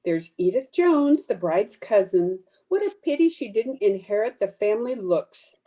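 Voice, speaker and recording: Woman playing wistful, mellow-voiced young cousin, swooping pitch. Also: sing-song, moderate pace, rough recording. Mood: wistful, bitter. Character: plain, wistful young bridesmaid cousin, gloomy and self-effacing. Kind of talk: old-time radio drama acting.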